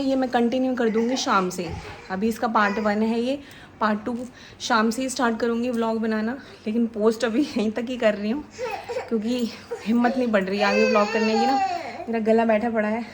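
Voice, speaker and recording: A woman in her thirties with a hoarse throat, chatting casually to her viewers in a family vlog. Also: a little high, steady tone, normal speed, slight background noise. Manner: chatty, talks to viewers directly. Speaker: vlogger.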